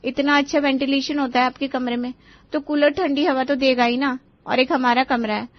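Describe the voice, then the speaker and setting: A woman's voice: high-pitched, steady tone, a little fast, no room sound, lo-fi sound, close to an everyday voice. A woman voicing an exasperated, overworked young daughter-in-law, whiny and pleading, in a Hindi cartoon story. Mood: complaining.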